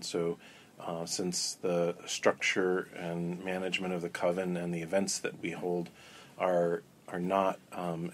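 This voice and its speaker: Low-voiced man telling a long story. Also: slight background noise. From Florida. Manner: relaxed, rambling with many ums and restarts. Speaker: interview guest, coven elder. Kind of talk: casual storytelling interview.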